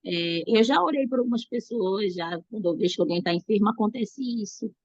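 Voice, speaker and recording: Hoarse Brazilian woman on a dull call. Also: medium pitch, brisk, a little mellow, dry recording. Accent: Brazilian Portuguese. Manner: hesitant, restarts often. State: hoarse from a cold. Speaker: student asking a question. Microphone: video call.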